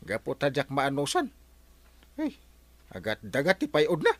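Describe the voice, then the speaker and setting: An actor playing an excitable middle-aged village captain in a radio comedy, swinging between yelps and mutters. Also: male voice, a little high, unhurried, dry recording. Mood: gossiping. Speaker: barangay captain. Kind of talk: radio comedy drama acting.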